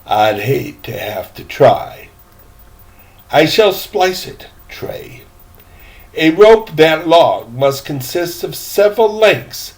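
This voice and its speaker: A man voicing a roly-poly seaman in a storybook, a patient and pedantic rope expert who lectures with deliberate emphasis. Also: medium pitch, a little mellow. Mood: patient, sighing. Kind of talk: acted storybook dialogue.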